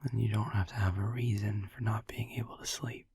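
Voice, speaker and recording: A man playing a sleepy, gentle, reassuring vampire boyfriend in a whispered sleep-aid audio roleplay, rambling in a hesitant, breathy, husky murmur. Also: on the low side, steady tone, no room sound. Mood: tender, reassuring. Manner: rambling, hesitant, halting, drowsy.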